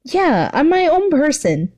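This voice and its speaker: Girl doing a shy teen hero boy, higher voice, stammery n polite, story reading. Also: very animated, unhurried, a little mellow. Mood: nervous. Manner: hesitant, stammering.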